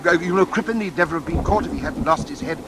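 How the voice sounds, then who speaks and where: Fussy, flustered English gentleman. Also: quick pace, vocal fry. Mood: flustered, protesting. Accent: British. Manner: flustered, stammering, polite. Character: fussy, mild, law-abiding English gentleman rail passenger. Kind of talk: 1940s radio acting. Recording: noisy vintage radio recording, train rumble.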